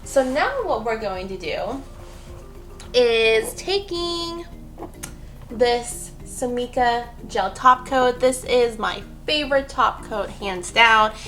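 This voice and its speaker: Woman, fairly high voice, chatty how-to talk, rambling asides, soft music underneath. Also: animated, slow pace. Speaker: nail art tutorial host. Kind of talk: casual how-to narration.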